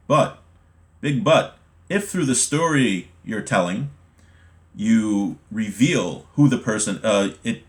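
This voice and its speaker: An American man teaching an informal online religion class, explaining in a conversational way with everyday examples and checking in with the listener. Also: mid-range voice. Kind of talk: informal text study, reading aloud then explaining with examples.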